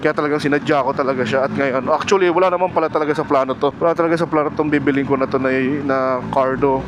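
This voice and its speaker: A man's voice: fairly high pitch, fairly even intonation, racing. A Filipino man chatting casually and playfully as a motovlog host out on the road, mixing in English phrases. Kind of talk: casual vlog chat. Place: outdoors, riding a motorbike on the road. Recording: noisy outdoor road and vehicle noise. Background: traffic and vehicle noise.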